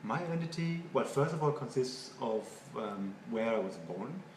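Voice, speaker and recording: A man's voice: medium pitch, animated. Echoey, distant man with a German accent. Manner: halting, thoughtful, repeats words. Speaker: interviewee. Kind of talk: spoken interview answers. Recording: echoey room, far from mic, noisy.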